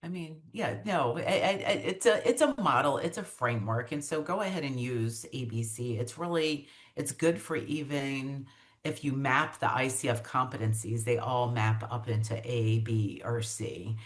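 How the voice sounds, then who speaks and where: A woman's voice: extremely low pitch, normal speed. A woman executive coach as an interview guest on a video call, explaining her ideas with enthusiasm and some restarts.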